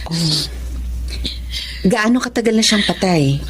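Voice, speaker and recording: Calm, frank Filipina doctor. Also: female voice, mid-range voice, a little hiss or hum. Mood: calm, advising. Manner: measured, blunt but understanding. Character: calm, frank woman doctor counseling a bereaved patient. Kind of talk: Filipino radio-style drama acting.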